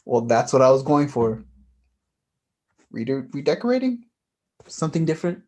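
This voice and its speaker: A woman's voice: extremely low pitch, big pitch swings, moderate pace, warm tone. A woman acting a mild, wistful, evasive young man in a staged play reading, in a casual, hesitant way. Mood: defensive, hopeful.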